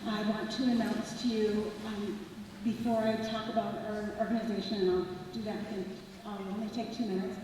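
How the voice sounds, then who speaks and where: A woman with a soft voice speaking up at a community meeting, announcing a neighborhood safety walking group. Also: medium pitch, flat delivery, normal speed, background noise. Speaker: neighborhood safety walk organizer. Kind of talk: public remarks at a community meeting. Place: large meeting hall. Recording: echoey hall, far from the mic.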